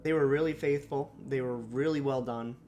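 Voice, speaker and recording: Raspy young man rambling about a movie. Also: medium pitch, steady tone, moderate pace, a little mellow, soft background music. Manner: rambling, frequent fillers and self-corrections. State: slightly sore throat, a bit raspy. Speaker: movie reviewer. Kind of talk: unscripted first-impressions monologue.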